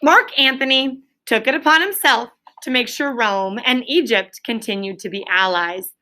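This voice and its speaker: A woman with a fairly high, very bright voice leads a classroom read-aloud. She sounds like a schoolteacher, instructive and projecting clearly forward. She breaks up the reading with short prompts and check-in questions for her pupils. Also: normal speed. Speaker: elementary school teacher.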